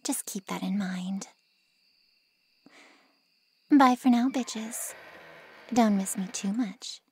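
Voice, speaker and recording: A woman's voice: mid-range voice, dark tone, slight background noise. A woman playing a sassy, defiant, foul-mouthed ally of vampires in an ASMR roleplay, sarcastic and taunting. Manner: sarcastic, taunting, drawn-out delivery.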